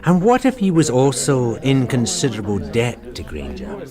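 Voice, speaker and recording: An actor with a British accent playing a tactful, steady detective sergeant who explains things frankly in a radio drama. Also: male voice, medium pitch, over music. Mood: matter-of-fact. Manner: measured, explanatory, deferential yet frank. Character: steady, tactful male detective sergeant advising his superior.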